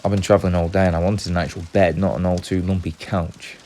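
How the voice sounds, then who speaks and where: Man acting a deep-voiced, shaken traveller. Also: level tone, darkish tone, a little hiss or hum, lightly acted. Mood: uneasy, weary. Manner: halting, stammering, apologetic. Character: frightened exhausted male traveller seeking shelter, polite and shaken. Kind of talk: audio drama acting.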